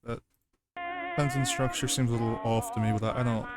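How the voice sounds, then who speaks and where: A man doing casual reaction commentary and reading aloud, halting as he goes and repeating himself over stumbles. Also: medium pitch, little pitch movement, loud music behind the voice. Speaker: reaction video host.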